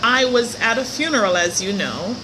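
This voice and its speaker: A middle-aged woman, a little hoarse, hosting her show in a chatty, upbeat live-stream talk from a hotel room. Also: on the high side, lively intonation, normal speed, noisy background. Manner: chatty, upbeat, with light laughs. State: slightly hoarse. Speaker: host of a motivational show. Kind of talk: casual live-stream monologue. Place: hotel room near a pool.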